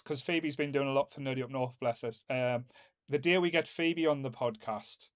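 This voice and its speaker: A man's voice: mid-range voice, moderate pace. A man from Northern England, co-hosting a casual podcast, teasing and joking with the others. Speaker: podcast co-host. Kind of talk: casual chat.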